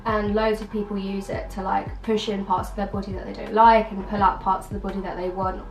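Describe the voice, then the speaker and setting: A woman's voice: mid-range voice, level tone, a little fast, recorded from a distance, music in the background. A twenty-one-year-old woman, a student, talking hesitantly in a documentary interview with many "like" fillers. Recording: echoey room, voice from off-mic.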